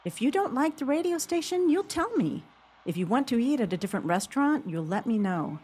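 A woman's voice: fairly high pitch, very animated, fairly quick, darkish tone, breathy edge. An actress playing an emotional, earnest woman talking with a close friend in a radio play. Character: woman friend, emotional, sports-loving, turning sweetheart.

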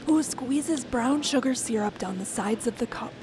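Female radio reporter, scripted feature narration, measured and descriptive, slightly high voice. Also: animated, background noise. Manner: measured, descriptive storytelling read.

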